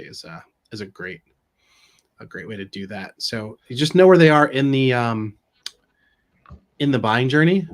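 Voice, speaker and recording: Casual middle-aged man chatting informally. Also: mid-range voice. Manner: informal, peppered with rhetorical check-ins. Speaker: co-host of a business live stream. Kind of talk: casual conversational advice. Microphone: video call.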